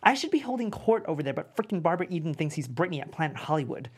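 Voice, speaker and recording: A sassy, insecure high school boy, acted by a woman with a slightly low voice. He is self-dramatizing and quick to take offense, in the manner of a comedy script read aloud. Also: big pitch swings, husky, exaggerated voice. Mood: catty, indignant.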